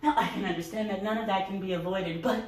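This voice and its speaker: A woman's voice: medium pitch, fairly even intonation, fast, natural voice. Echoey, exasperated theatrical woman's monologue of a frazzled groom. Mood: fretful. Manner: agitated, emphatic, rambling. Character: exasperated, frazzled groom recounting wedding family squabble. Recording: roomy echo, distant microphone.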